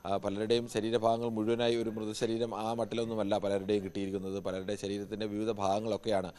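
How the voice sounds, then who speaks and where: A man's voice: lowish voice, level tone, quick pace, darkish tone. A man giving unscripted live news analysis as a correspondent, explaining with frequent rephrasing.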